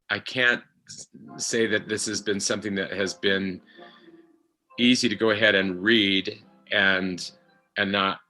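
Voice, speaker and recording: Hesitant man with a bright, forceful voice. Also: lowish voice, low-quality recording. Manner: hesitant, halting. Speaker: podcast actor. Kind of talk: personal reflective discussion.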